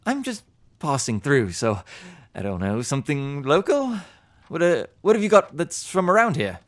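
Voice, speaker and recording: Hesitant, weary travelling merchant. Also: male voice, fairly high pitch, very animated. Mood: puzzled, curious. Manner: hesitant, halting, muttering to himself. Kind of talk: fantasy audio drama acting.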